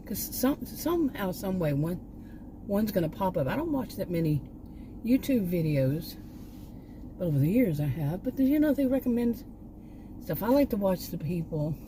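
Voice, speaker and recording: Woman vlogger, lowish voice, losing her voice, rambling casual talk, noisy outdoor background. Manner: meandering, trails off and restarts.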